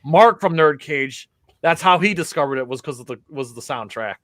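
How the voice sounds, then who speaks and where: A man chatting on a podcast, rambling eagerly through anecdotes with lots of fillers. His voice sits a little high, with a bright, forward ring. The sound is dry and close. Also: animated, normal speed, no echo. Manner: enthusiastic, rambling storyteller with many fillers. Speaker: podcast co-host. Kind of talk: casual podcast chat.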